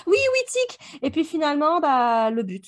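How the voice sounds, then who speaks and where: Casting: female co-host, high-pitched voice, colloquial and vivid storyteller for a casual book discussion. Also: big pitch swings, moderate pace. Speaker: podcast book club co-host. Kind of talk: casual chat, retelling story scenes.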